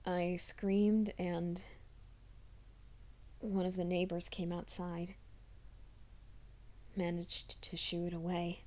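A woman voicing a troubled young man who hides a werewolf nature, in a soft, hushed ASMR roleplay, often whispering. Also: lowish voice, little pitch movement, slow, pauses often. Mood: anxious whisper. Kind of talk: fan audio drama, ASMR roleplay.

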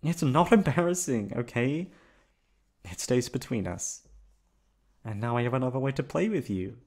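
A man playing an affectionate, teasing young boyfriend in a roleplay audio, coaxing playfully with drawn-out words. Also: medium pitch, expressive, darkish tone. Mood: teasing, tender. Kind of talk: roleplay ASMR audio acting.

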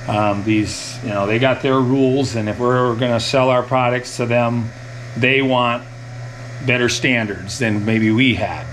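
A man's voice: mid-range voice, steady tone, measured pace, fairly bright tone, slight background noise, rough recording. Man, thirties, dairy farmer, casual explaining, folksy plain talk, mid-range voice, camera at arm's length. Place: small tiled milk room with steel tank, indoors.

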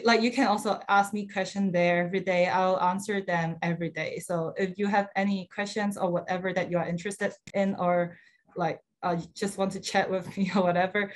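A young female university student, likely from Hong Kong, casually sharing her student life on a video call, using many "like" and "uh" fillers. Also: mid-range voice. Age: young adult. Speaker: student speaker. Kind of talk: admissions info session talk.

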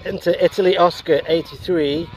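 A man's voice: fairly high pitch, steady tone, a little fast, a little mellow, low-quality recording. A middle-aged man chatting casually and a little hesitantly outdoors, as an amateur radio operator making a vlog. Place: outdoors on open heathland by a road.

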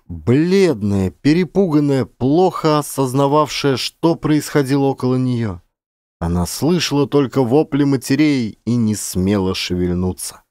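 A man's voice: mid-range voice, a little mellow, no echo, studio quality. A man narrating an audiobook as an actor, with theatrical, emphatic storytelling. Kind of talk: dramatic scripted narration.

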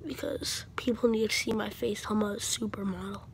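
A woman's voice: mid-range voice, steady tone, moderate pace, a little hiss or hum, close to an everyday voice. A woman voicing a vain, boastful toy character in a toy skit, self-important and defensive. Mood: smug.

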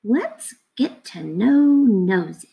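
Thirties woman, teacher reading to children, unhurried, creaky mid-pitched voice. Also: expressive, muffled recording. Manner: explains simply, asking questions of young listeners. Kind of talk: casual read-aloud lesson for children. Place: indoors at a computer, webcam screen recording.

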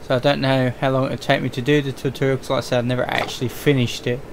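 Male gaming host, mid-pitched casual commentary, faint background noise. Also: level tone, quick pace.

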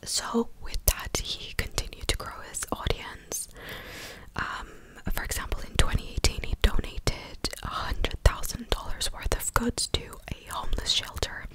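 A young woman speaking in a breathy ASMR whisper close to the microphone, chatting casually and hesitantly as she recaps news. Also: measured pace, rough recording. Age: young adult. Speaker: ASMR content creator. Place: small living room, indoors. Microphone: close desktop condenser microphone.

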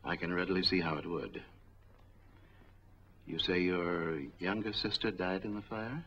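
An actor playing a middle-aged, skeptical bank officer, formal and probing, in a 1940s film drama. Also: male voice, low-pitched, unhurried, fairly bright tone, husky, heavily acted. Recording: noisy, dull 1940s film soundtrack.

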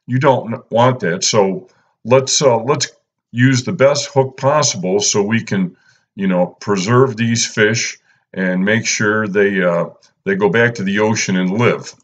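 Laid-back man with a low drawl. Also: takes it slowly. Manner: laid-back, lots of "uh" and "you know". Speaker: fishing channel host. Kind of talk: casual instructional voice-over.

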